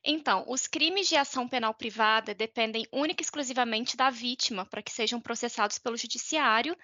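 A woman's voice: on the high side, a little fast, bright, forceful tone, dry recording. A woman with a Brazilian Portuguese accent explaining law informally, hesitating and correcting herself as she goes. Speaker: podcast panelist explaining law. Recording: dull lo-fi, dry close sound.

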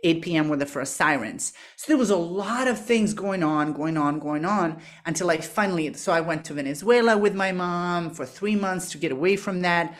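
A woman in her thirties from Serbia talks as a podcast guest. Her voice sits low. She tells her story candidly and keeps quoting her own past thoughts. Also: moderate pace, few pauses. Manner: candid, animated, frequent "like" and quoted self-talk. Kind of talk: conversational storytelling.

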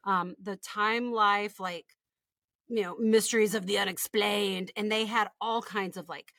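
A woman's voice: mid-range voice, steady tone, a little slow, bright, forceful tone, no echo, studio quality. A woman in her forties with a Texan accent, co-hosting a podcast in casual, comedic chat with informal, emphatic banter. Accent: Texan American. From West Texas, United States. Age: 40s. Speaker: podcast co-host.

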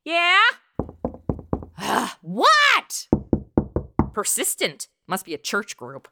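A woman's voice: fairly high pitch, huge pitch swings, extremely slow, full character voice. A woman playing a blunt, sarcastic young modern princess in a comic radio play, with a brash delivery. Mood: sardonic. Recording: noisy background.

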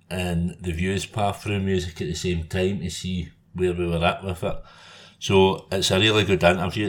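A man hosting a podcast, talking in a relaxed, informal way with local words. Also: sits low. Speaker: podcast host. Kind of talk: podcast intro and interview.

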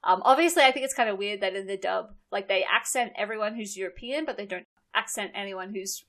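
A chatty Australian woman in her thirties, talking loosely as a podcast guest. Her voice sits at an ordinary middle pitch, and she breaks into laughter often while explaining things. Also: fast, fairly bright tone. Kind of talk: casual podcast chat.

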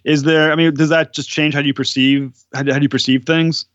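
A man's voice: fairly high pitch, level tone, brisk, a bit bright, dry recording, lo-fi sound. A man interviewing a guest, chatty and laughing, asking long wandering questions. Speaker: podcast interviewer. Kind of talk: casual interview chat.

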